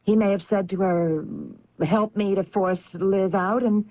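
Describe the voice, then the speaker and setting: An actress playing an anxious career woman convinced of a plot against her, halting and agitated, in radio drama style. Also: medium pitch, steady tone, no room sound, lightly acted. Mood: insistent, agitated. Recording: dull, band-limited old radio recording.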